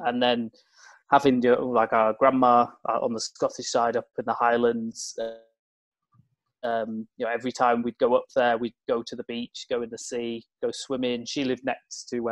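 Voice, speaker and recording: A man's voice: medium pitch, monotone, moderate pace, no room sound, poor audio. A young man from Cumbria in England, chatting as a guest in an interview, hesitant and full of fillers and restarts. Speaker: guest, open-water swimmer. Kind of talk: casual interview chat.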